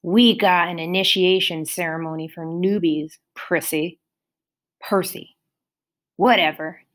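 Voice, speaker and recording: A woman reading aloud and voicing a big, mean teenage girl bully with a drawn-out, sneering delivery. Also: on the low side, fairly even intonation, a bit bright.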